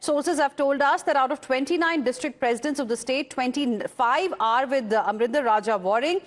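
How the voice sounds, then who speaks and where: High-pitched Indian woman news anchor. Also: normal speed. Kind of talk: live news presenting.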